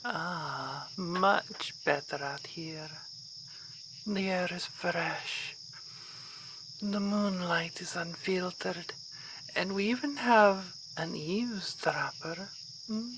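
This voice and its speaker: A woman's voice: medium pitch, noisy background, natural voice. A woman playing a refined, haughty vampire baroness in an ASMR roleplay, courteous and formal in her wording.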